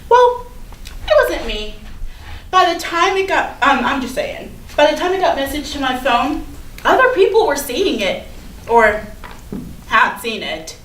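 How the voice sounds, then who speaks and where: A woman's voice: high-pitched, sing-song, unhurried, pauses often, fairly bright tone, full character voice. A woman playing a popular, defensive teenage schoolgirl in a staged play reading, with chatty, casual, hedging delivery. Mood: confiding, self-excusing. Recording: echoey room from far off, noisy.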